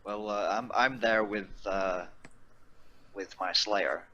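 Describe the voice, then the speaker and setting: A man's voice: on the low side, takes it slowly, sharp tone, highs cut off, slight background noise. A man chatting casually as a player in a tabletop roleplay game, hesitant and restarting his sentences.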